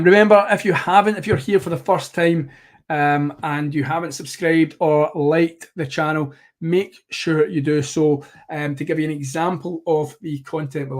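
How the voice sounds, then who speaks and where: Guy hosting a live tennis watchalong, bit hoarse, lots of ums and restarts, higher-pitched voice. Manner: rambling, self-correcting, many fillers. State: slightly hoarse. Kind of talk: live match commentary.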